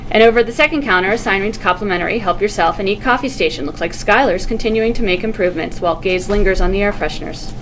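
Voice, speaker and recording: A woman's voice: mid-range voice, fast talker, hardly any pauses. A woman reading stage directions aloud as scripted narration at a table read. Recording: noisy recording with room ambience.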